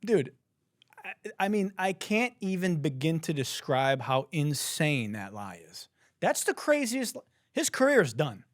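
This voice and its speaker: A young man hosting a podcast, ranting in disbelief with lots of swearing and laughter, a little hoarse. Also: fairly high pitch, big pitch swings, a little slow, no room sound, studio quality. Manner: profane, laughing, incredulous ranting. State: hoarse, says he has lost his voice. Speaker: podcast host. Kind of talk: casual comedic commentary.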